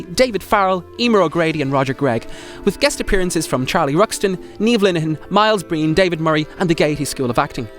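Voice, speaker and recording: A man announcing scripted radio programme credits. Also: sits high.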